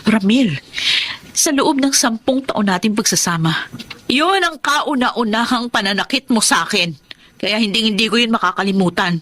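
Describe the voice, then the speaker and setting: A woman acting a resentful, sharp-tongued wife and mother in a Tagalog radio drama. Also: a little high, normal speed. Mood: hurt, angry.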